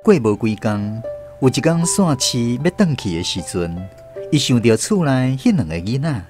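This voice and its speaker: A male radio drama narrator with a Taiwanese accent. He reads the story in a medium pitch with animated inflection, and music plays faintly behind him. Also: moderate pace.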